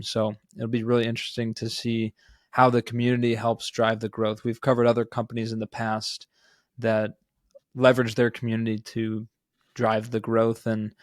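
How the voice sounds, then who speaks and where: A man's voice: lowish voice, dry recording, studio quality. A man co-hosting a podcast, talking through business news in a casual, informal way. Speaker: podcast co-host. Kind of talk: conversational business news discussion.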